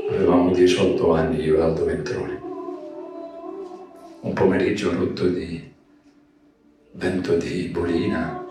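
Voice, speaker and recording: A man with a deep voice gives a literary poetry reading for radio theatre. He takes his time, phrasing each line solemnly. The sound is heavily reverberant, with music behind him. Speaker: poetry reader. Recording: very echoey, distant, music behind. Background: music bed.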